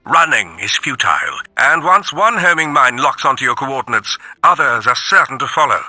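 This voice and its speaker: An actor playing a brisk robot war officer giving emphatic, instructive briefings in a video game audio log. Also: male voice, mid-range voice, big pitch swings, bright, forceful tone, no echo, exaggerated voice. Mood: warning.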